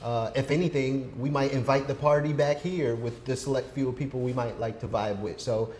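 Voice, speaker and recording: A man's voice: medium pitch, steady tone, normal speed, a little mellow. An American man in his forties, casually telling personal stories as a podcast co-host in a conversational, teasing way. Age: 40s. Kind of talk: casual chat, personal storytelling. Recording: clear background noise, room ambience.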